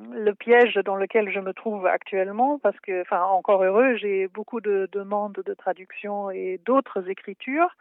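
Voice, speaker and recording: A woman from Germany, native German speaker, answering interview questions in French with a German accent, hesitant and full of filler pauses. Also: medium pitch, dry recording. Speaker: photography historian and translator. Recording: tinny dry phone line.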